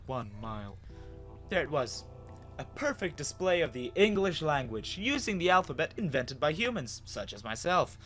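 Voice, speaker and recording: Male narrator for a dramatic audio story: animated, swinging intonation, theatrical pauses, music underneath. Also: a little high.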